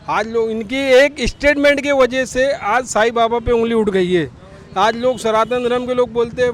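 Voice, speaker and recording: A man with a Mumbai accent giving an indignant, colloquial opinion in a street interview, challenging those in power. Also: extremely high pitch. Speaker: local resident interviewed on the street. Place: outdoors on an urban street. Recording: outdoor street noise with traffic.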